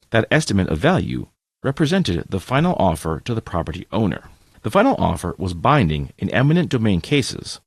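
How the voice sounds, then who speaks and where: A man reading scripted nonfiction narration in a measured, formal, deliberate way. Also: lowish voice, breathy edge, dry recording. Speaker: audiobook-style podcast reader.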